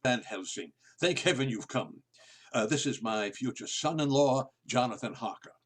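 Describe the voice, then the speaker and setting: A man performs an earnest male physician in a radio drama. His voice is rough-edged and animated, emphatic and skeptical in manner. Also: medium pitch, moderate pace, lightly acted. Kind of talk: radio play acting.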